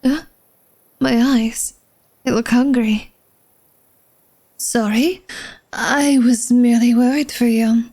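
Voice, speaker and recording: A woman's voice: on the high side, fairly even intonation, takes it slowly, long pauses, dark tone. A woman playing an elegant, secretive vampire lady of a manor in a flirtatious ASMR roleplay, speaking formally and coyly to the listener. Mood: coy, evasive. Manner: formal, genteel, coy, teasing speech addressed to the listener.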